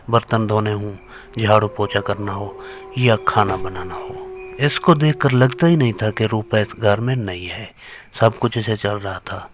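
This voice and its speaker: A man's voice: fairly low pitch, slight background noise. A man reading scripted horror fiction as a storyteller.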